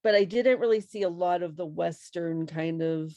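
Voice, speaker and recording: A woman with a creaky voice giving casual, hesitant feedback on a video call. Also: lowish voice, normal speed, mellow, no echo. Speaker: volunteer reader giving feedback. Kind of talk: casual critique chat.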